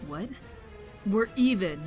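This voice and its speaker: A woman's voice: medium pitch, animated, slow pace, darkish tone, soft background music. Actress as blunt young female soldier, mid-range, curt and wary, sci-fi drama.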